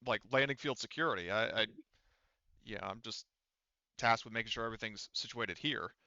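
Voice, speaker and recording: A man's voice: on the low side, normal speed, bright, forceful tone, no room sound, highs cut off. A man playing a flustered, apologetic security officer who stammers and placates in a tabletop game. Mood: flustered, placating.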